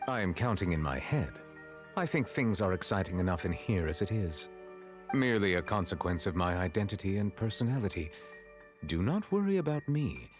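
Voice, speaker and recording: Dignified game authority: low male voice, composed, formal, lively contour, faint music under. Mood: calm, reserved. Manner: formal, measured, serious. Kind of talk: animated video game acting.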